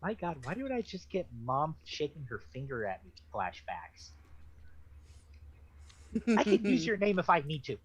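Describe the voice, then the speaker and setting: A man joking in a casual book club chat, self-deprecating and lively in tone. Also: on the high side, moderate pace, long pauses, breathy edge. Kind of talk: casual banter. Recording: dull lo-fi mic.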